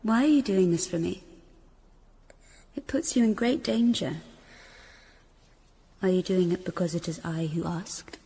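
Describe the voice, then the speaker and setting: A Tudor queen, resolute but afraid, in a radio drama. Her low voice is husky and breathy. She speaks very slowly and formally, with long reverb around her. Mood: searching. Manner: hushed, halting, formal. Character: fearful yet resolute Tudor queen, intimate and dignified. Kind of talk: period radio drama acting.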